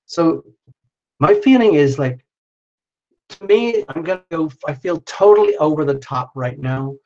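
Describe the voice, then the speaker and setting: A man's voice: on the high side, lively intonation, measured pace, warm tone, highs cut off. A man hosting a live stream, giving a casual, exuberant tarot reading and speaking straight to the viewer while losing his voice. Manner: exuberant, chatty, addresses viewer by name.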